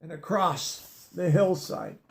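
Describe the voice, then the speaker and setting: A man with a notably high voice reads a children's picture book aloud. He gives the story gentle expression and pauses to explain a word or two for young listeners. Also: expressive, vocal fry. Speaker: storybook reader.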